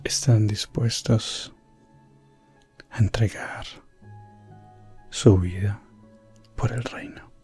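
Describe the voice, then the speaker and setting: Man as a slow, hushed, husky elf prince. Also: lowish voice, fairly even intonation, faint music behind, full character voice. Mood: calm, recounting. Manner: hushed, gentle, drifting in and out of whisper. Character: calm, courteous, principled male elf prince adventurer. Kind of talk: soft-spoken ASMR roleplay, medieval fantasy storytelling.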